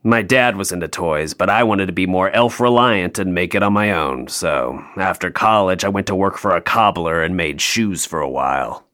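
An actor playing a jaded, sardonic male elf toymaker in an audio play, deadpan, dry and downbeat. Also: sits low, normal speed, hardly any pauses.

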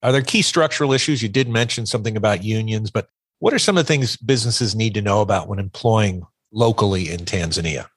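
A man's voice: on the low side, a little fast, husky, no echo. A man hosting a podcast interview, asking questions in a friendly, conversational way. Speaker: podcast host.

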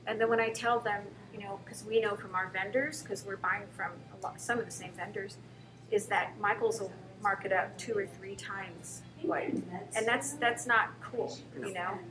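A woman's voice: medium pitch, fairly even intonation, normal speed, crowd noise, low-quality recording. An older woman, a shop owner, talking casually in an interview with fillers and restarts.

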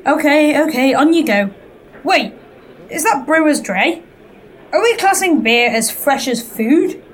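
Woman playing indignant railway picket, 1919 audio drama, high, very lively intonation, slow delivery, ambient noise. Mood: challenging. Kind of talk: scripted audio drama dialogue.

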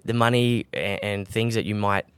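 A young man, a brand co-founder, talking calmly as a podcast guest, with lots of fillers and restarts. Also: on the low side, moderate pace, smooth, no echo. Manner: calm, relaxed, with many fillers and restarts. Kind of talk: casual podcast interview.

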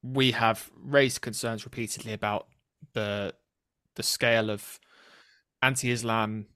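Nasal British man, low-pitched, close dry sound. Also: fairly even intonation, takes it slowly, fairly bright tone, clean tone, dry recording. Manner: hesitant, earnest and serious. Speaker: podcast host interviewing a colleague. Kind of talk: conversational political podcast interview.

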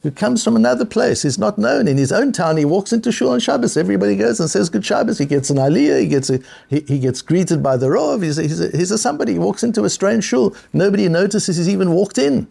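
A man's voice: sits high, expressive, fast, gravelly, hardly any pauses, dark tone, slightly rough. A middle-aged man teaching a Talmud class in an earnest, repeating way, with Hebrew and Yiddish terms in his speech.

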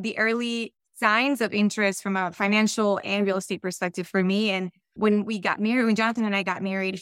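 Colombian-accented woman, smooth clear voice. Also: medium pitch, fairly bright tone, dry recording. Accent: Colombian Spanish. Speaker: podcast guest, real estate investor. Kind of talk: conversational interview answers.

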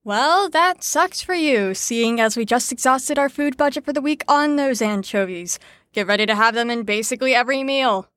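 A woman's voice: on the high side, moderate pace, fairly bright tone, no echo, clean studio sound, lightly acted. A woman playing a sarcastic, breezy psychology teacher in an audio drama, with a mock-cheerful manner.

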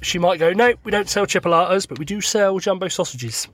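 A middle-aged man from the UK hosting his own podcast, his voice croaky from a cold, chatting and joking at himself while sat in his parked truck. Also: high voice, a little fast, fairly bright tone. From United Kingdom. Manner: chatty, self-deprecating, joking. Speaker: podcast host. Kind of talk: casual solo podcast monologue. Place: inside his parked truck cab by a main road.

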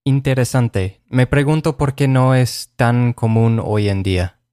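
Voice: medium pitch, unhurried, warm tone, clear, resonant tone, no room sound, clean studio sound. A co-host in casual conversation, agreeable, asking questions that move the talk along.

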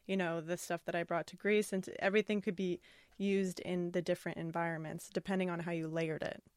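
A woman's voice: on the low side, level tone, a little fast, clear, resonant tone, dry recording. A woman from California co-hosting a podcast in casual chat, sharing practical tips. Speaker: podcast co-host.

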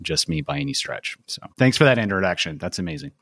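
Very low-voiced man, dry sound. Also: big pitch swings, quick pace, vocal fry, a bit bright, dry recording. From Portugal. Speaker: podcast guest, filmmaker. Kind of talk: conversational personal storytelling.

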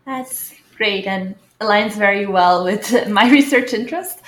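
A woman's voice: mid-range voice, a little slow, a bit bright. A woman hosting a podcast, introducing a guest and asking friendly questions with small restarts. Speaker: podcast host, professor. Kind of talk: podcast interviewing. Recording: echoey room.

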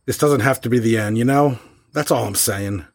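A man's voice: medium pitch, moderate pace, no echo, clean studio sound, close to an everyday voice. A man playing a restless, informal young rock musician in a horror audio drama, with an agitated edge. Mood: agitated, pleading.

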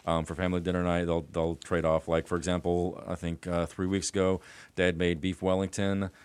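Very deep-voiced middle-aged male guest. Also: a little fast, clean tone, no echo. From United States. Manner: relaxed, rambling storytelling with fillers. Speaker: attorney and guest. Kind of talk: casual interview chat.

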